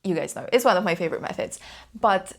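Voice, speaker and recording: A woman's voice: lowish voice, lively intonation, quick pace. A young woman whose first language is Polish, talking to camera in a chatty, enthusiastic way and often repeating herself for emphasis. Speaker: language learning YouTuber. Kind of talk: casual talk to camera.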